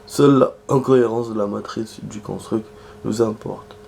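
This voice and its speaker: Guy with a cold reading game text, super gravelly, pretty flat and monotone. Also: normal speed, slight background noise. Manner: low, flat, gravelly delivery with muttered asides. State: has a cold, clearing his throat. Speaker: gaming streamer. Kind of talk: casual gameplay commentary, reading in-game text aloud.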